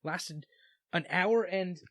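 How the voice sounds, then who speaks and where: A man's voice: high voice, slow pace, fairly bright tone, dry recording. A man hosting a podcast, chatting casually with a guest, laughing easily and repeating words. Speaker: podcast host. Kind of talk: casual interview chat.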